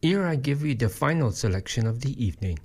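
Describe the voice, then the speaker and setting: An actor playing a folksy old campfire storyteller in an audio drama, with a gravelly, raspy voice. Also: male voice, medium pitch, expressive, fast talker, a little mellow. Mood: eerie, folksy.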